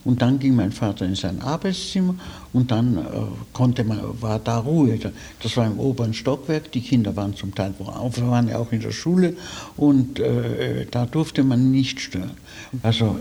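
An elderly man tells memories in conversation. His voice is mellow and of medium pitch, and he talks in loose anecdotes. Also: creaky. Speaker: interviewee. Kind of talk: anecdotal reminiscing.

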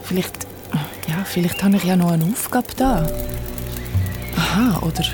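A woman's voice: fairly low pitch, normal speed, over music, lightly acted. A woman acting an earnest young friend in an audio drama, in natural conversation. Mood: calm, pensive. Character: earnest young woman, mysterious member of campfire friend group.

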